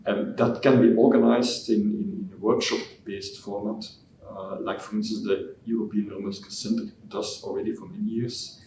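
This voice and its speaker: A man from Belgium, a scientist answering interview questions in a halting way, often repeating words as he searches for phrasing. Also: lowish voice, level tone, a little mellow. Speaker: scientist giving concluding remarks. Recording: echoey hall, mic at a distance, faint noise.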